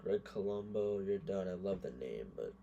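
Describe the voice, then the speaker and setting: Hoarse low-voiced man talking gameplay. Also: lively intonation, normal speed, mellow, muffled recording, a little hiss or hum. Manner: thinks aloud, muttering verdicts on players. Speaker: gaming creator. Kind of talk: casual gameplay commentary.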